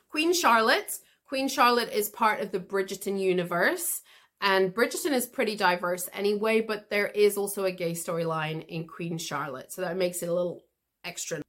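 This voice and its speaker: A woman hosting an English-learning podcast, giving chatty advice straight to the listener in a lively, expressive way. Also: mid-range voice. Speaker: English teacher and podcast host.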